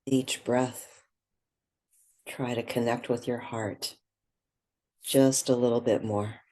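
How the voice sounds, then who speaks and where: A woman leads a guided meditation in a husky voice. She speaks very slowly and gently, setting out short phrases and leaving long pauses between them. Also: very deep voice, fairly even intonation.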